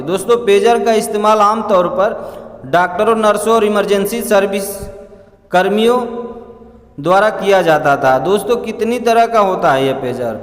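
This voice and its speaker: A man reads a scripted explainer in a halting way, addressing listeners as friends. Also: high voice. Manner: halting read-aloud delivery, often addressing listeners as friends. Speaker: presenter narrating an explainer. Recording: echoey room with long reverb.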